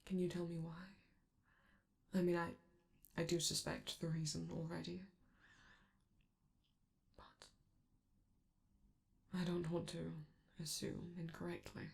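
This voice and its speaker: Casting: woman voicing affectionate, protective showbiz robot; lowish, heavy vocal fry, hushed intimate roleplay delivery with a theatrical streak. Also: sing-song, takes it slowly, long pauses, full character voice. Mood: concerned, gentle. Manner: soft, theatrical, affectionate, hesitant, breaking into whispers. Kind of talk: intimate ASMR comfort roleplay.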